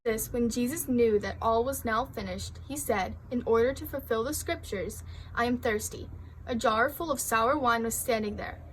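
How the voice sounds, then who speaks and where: Female scripture reader, slightly high pitch, faint noise on the recording. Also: moderate pace, low-quality recording. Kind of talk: scripture reading.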